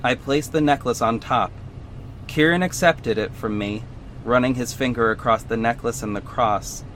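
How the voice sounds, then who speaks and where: An actor playing an earnest superhero who narrates his own story in a measured, serious audio drama style. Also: mid-range voice, fairly bright tone, a little hiss or hum, natural voice. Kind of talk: scripted first-person audio drama narration.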